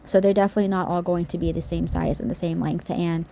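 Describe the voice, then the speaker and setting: A woman's voice: lowish voice, fairly even intonation, quick pace, gravelly, dark tone. Chatty woman with a scratchy throat. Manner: chatty, rambling, many restarts. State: slightly scratchy, sore throat. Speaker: nail art YouTuber. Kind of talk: casual voiceover chat.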